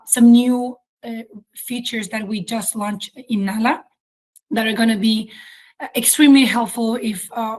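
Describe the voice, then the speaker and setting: Woman, Chilean accent, fairly high voice, webinar host, informal explanatory delivery, hesitant phrasing. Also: rough recording. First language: Spanish. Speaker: webinar host, company chief executive. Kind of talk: webinar presentation.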